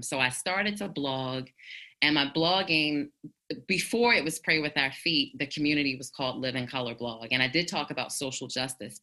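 American woman guest talking reflectively, super low pitch but bright forceful tone. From United States. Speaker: guest, activist blogger. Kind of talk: reflective interview answers. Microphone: internet call.

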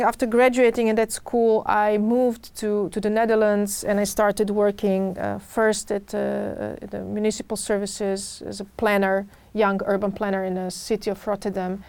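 A middle-aged woman with a Serbian accent answering interview questions about her work, often pausing and repeating words as she searches for phrasing. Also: medium pitch, gravelly, a little mellow, clear, resonant tone, no echo. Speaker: podcast guest, urban designer.

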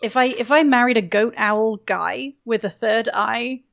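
A woman's voice: a little high, measured pace, gravelly, fairly bright tone. A woman chatting casually on a podcast about a video game, musing in a playful, jokey way. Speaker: podcast guest.

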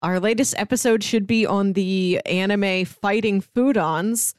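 A woman's voice: mid-range voice, measured pace, clear, resonant tone, no room sound, clean studio sound. A woman co-hosting a podcast, talking in a casual, conversational way. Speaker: podcast co-host. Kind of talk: casual chat.

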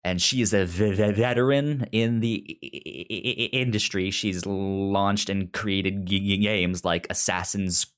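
A man's voice: low voice, steady tone, measured pace, few pauses, a bit bright. A man co-hosting a podcast, chatting casually about a TV series, often stammering and repeating the first sounds of words. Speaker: podcast co-host. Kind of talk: casual TV recap chat.

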